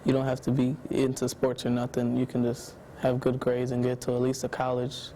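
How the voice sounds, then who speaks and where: A teenage boy answering documentary interview questions in a laid-back, halting way, with lots of "like". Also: mid-range voice, monotone, dark tone, slight background noise. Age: teens. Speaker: student interviewee.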